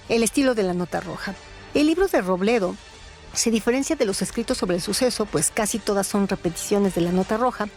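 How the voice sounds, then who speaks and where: A woman, narrating scripted stories and commentary in a theatrical, deliberate manner. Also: medium pitch, a little mellow. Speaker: narrator and host of a radio storytelling series.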